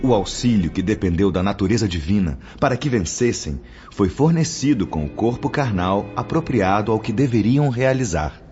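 A solemn, kindly spirit teacher played by a man with a low voice and a Brazilian accent. He instructs in formal radio-drama diction. The sound is muffled, with music prominent behind him. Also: lively intonation, moderate pace, hardly any pauses, darkish tone, lightly acted. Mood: grave, admonishing. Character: Brother Clemente, kindly solemn male spirit mentor instructing apprentices.

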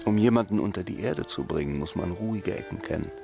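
A man playing a brooding, intuitive police detective in a radio crime play, explaining deliberately and insistently. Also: low voice, animated, creaky, music in the background. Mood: explanatory, brooding. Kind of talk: naturalistic radio drama dialogue.

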